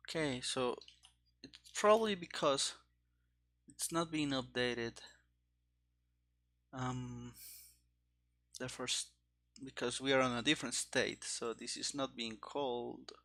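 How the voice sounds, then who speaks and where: Guy live coding, bright voice, slow halting talk, kinda thinking out loud. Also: medium pitch. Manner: thinking aloud in short, halting phrases. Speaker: programmer streaming game development. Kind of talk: casual live coding commentary.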